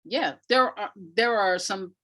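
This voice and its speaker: A woman speaking as an interview guest, answering conversationally in a reflective, emphatic way with pauses between phrases. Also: mid-range voice, sharp tone. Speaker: interview guest, arts practitioner.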